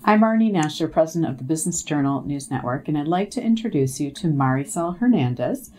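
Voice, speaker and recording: Female business interview host, clearly low voice, conversational delivery. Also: lively intonation, fast talker, dark tone.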